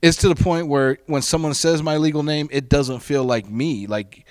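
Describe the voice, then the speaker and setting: A man's voice: on the high side, steady tone, normal speed, clean tone, dry recording. A man in his fifties, a stand-up comedian talking casually as a podcast guest, crude and self-mocking, laughing at his own stories. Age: 50s.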